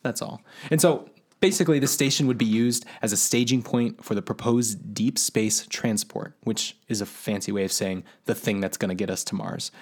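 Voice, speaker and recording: A man's voice: fairly low pitch, a little mellow. A man co-hosting a casual podcast, breaking down space news in plain everyday terms. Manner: explains space news in plain terms, then friendly sign-off. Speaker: podcast co-host. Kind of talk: casual science podcast chat.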